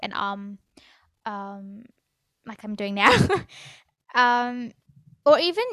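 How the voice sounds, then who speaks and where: Teen girl, solo podcast host, slightly high voice, stammering and hesitant, fading at line ends. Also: clear, resonant tone, dry recording, clean studio sound. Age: teens. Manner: halting, with stutters, fillers and restarts; soft, trails off quietly at phrase ends.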